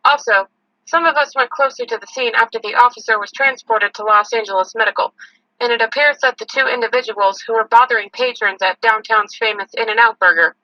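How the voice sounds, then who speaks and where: A woman's voice: a little high, a little fast, sharp tone, no room sound. A woman playing a serious, matter-of-fact field reporter giving a scripted news report, in slightly lo-fi audio. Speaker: on-scene reporter.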